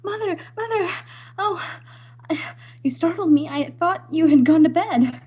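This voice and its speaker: A woman's voice: very high voice, expressive, measured pace, darkish tone, highs cut off. A woman playing an anguished young island daughter torn by love, pleading breathlessly in an old-time radio melodrama. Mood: evasive, nervous.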